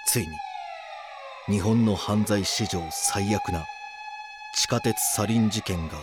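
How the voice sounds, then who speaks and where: A very low-voiced male detective narrates a true-crime radio drama. His delivery is grave and measured, like a solemn documentary account. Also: very noisy.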